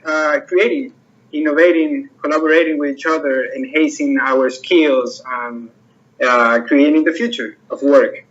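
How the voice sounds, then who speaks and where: A man, the project owner, talking about his project in a casual interview and filling pauses with lots of "uh"s. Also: a little high, a bit bright, lo-fi sound. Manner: hesitant, many fillers and restarts.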